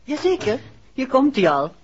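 An actress playing a detective's poised, courteous wife in a 1960s Dutch radio play. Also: female voice, high-pitched, very animated, normal speed, a little hiss or hum. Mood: pleasant, curious. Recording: dull, muffled old radio recording.

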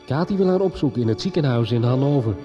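A man's voice: medium pitch, lively intonation, fast. Male radio narrator over prominent music. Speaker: announcer narrating a radio serial recap. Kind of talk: scripted narration. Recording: dull 1990s radio recording. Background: music under the voice.

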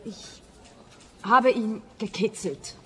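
A shaken but blunt woman in a radio comedy, sharp tense voice, dramatic, indignant. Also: fairly high pitch, takes it slowly, long reverb tail, a little hiss or hum. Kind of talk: comic radio play dialogue.

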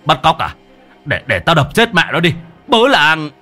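A man plays a hot-tempered village husband in an audio story. He blusters coarsely, tripping over his words, with a raised voice that swings widely in pitch over music. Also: sing-song, normal speed, a bit bright. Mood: threatening.

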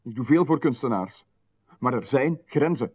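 A man playing a smooth, menacing visitor who talks down to a writer in a radio play, calmly condescending. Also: medium pitch, normal speed. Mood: indignant. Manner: calmly condescending, turning sharply indignant. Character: smooth menacing male visitor, patronizing official. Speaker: visitor who calls himself Marchon. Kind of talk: 1990s radio play acting. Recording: narrow phone-like radio band.

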